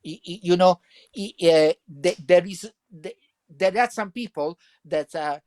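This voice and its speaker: A man from Italy with an Italian accent, speaking English as a second language and answering interview questions haltingly, with many repeats and fillers. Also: high-pitched, a little mellow. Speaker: guest author.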